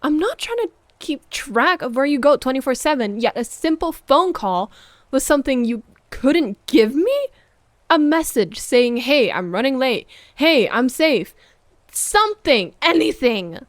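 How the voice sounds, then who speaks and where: A fairly high voice in a very dry recording, a woman acting out a needy, wounded girlfriend. She is accusing and emotional, shifting from pointed reproach to breathy, hushed murmurs in an intimate roleplay monologue. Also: big pitch swings, unhurried, dry recording. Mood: angry, exasperated. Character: hurt, needy, accusing live-in girlfriend waiting up late.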